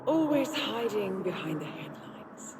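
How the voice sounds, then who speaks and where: A woman playing a cold, composed handler in a video game cutscene, speaking in a measured, solemn and cutting way. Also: mid-range voice, very animated, background noise. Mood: cold, taunting. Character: cold, composed female handler betraying her assassin, regretful yet cutting. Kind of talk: cinematic video game acting. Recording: dramatic music behind the voice.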